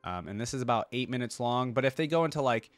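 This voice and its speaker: A man's voice: medium pitch, expressive, fast, no echo, faint music behind. A man hosting a reaction video, commenting casually as he watches.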